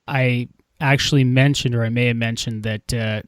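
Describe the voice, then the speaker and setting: Guy hosting a podcast interview, mid pitch, dry audio, chatty questions. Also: steady tone, normal speed, clean tone, dry recording. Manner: conversational, rising on questions.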